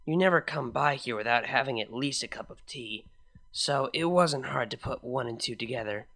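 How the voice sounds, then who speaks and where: A man voicing a timid, caring young pony mare who speaks gently and hesitantly. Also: medium pitch, moderate pace, natural voice. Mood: gentle, hesitant.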